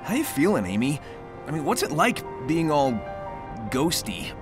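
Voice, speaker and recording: Cocky, kind cartoon hedgehog, young game hero, animated delivery, loud music behind. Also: male voice, a little high, moderate pace. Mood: reassuring. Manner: casual, confident, breezy. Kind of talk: video game cutscene acting.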